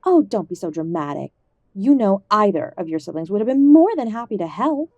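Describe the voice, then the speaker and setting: A woman's voice: medium pitch, very animated, a little fast, dark tone, no room sound, muffled recording. A woman playing a middle-aged mother in an audio drama, defensive and reproachful with her grown son. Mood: defensive, scolding. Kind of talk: audio roleplay drama acting.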